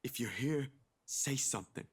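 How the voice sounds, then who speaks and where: A man's voice: mid-range voice, moderate pace, breathy edge, lightly acted. An actor playing an earnest, anxious young man in a horror game, speaking urgently and informally over music. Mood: cautious, hushed. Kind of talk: visual novel game voice acting.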